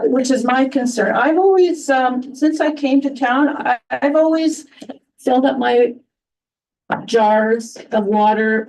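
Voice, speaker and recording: A soft-spoken woman addressing a town council during public comment, halting with many restarts and fillers. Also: fairly high pitch, recorded from a distance, low-quality recording.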